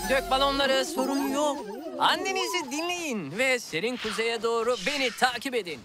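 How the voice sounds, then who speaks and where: A woman's voice: high voice, moderate pace, close to an everyday voice. A woman voicing a doting, bossy cat sidekick who plays mother, theatrical and fussy, in a children's cartoon dub. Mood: bossy, motherly. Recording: loud music behind.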